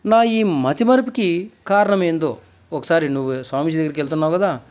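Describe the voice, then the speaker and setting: A man voicing a gentle, forgetful talking crocodile in a children's story, plain-spoken and reassuring. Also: fairly high pitch, a little fast, natural voice. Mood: earnest, pleading.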